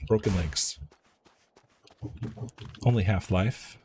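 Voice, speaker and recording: A man's voice: sits low, slow pace, noisy background. A man chatting casually as he live streams a video game. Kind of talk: casual chat while playing.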